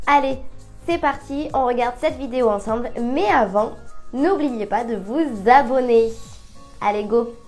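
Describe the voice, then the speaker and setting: A French woman with a stuffy head cold, chatting lively and directly to viewers while showing a nail tutorial. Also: fairly high pitch. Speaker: nail technician. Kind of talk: casual tutorial vlog.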